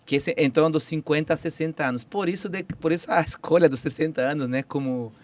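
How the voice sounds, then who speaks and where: A man's voice: fairly high pitch, quick pace. A man in his forties from Peru with a Peruvian Spanish accent, explaining biology as a podcast guest, hesitant with many fillers and restarts.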